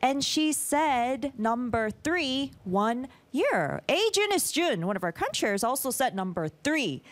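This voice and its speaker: A woman's voice: high-pitched, sing-song, measured pace. A woman hosting a quiz in an encouraging way, chatting with her guests and echoing what they say. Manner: encouraging, echoes guests' answers. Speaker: quiz show host. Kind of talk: lively hosted quiz chat.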